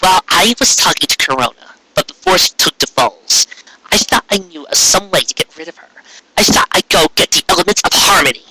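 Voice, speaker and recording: A man's voice: high-pitched, expressive, fairly quick, pushed and bright, husky, dry recording, heavily acted, rough recording. A man voicing a young female unicorn magician who explains herself earnestly and defensively in a story.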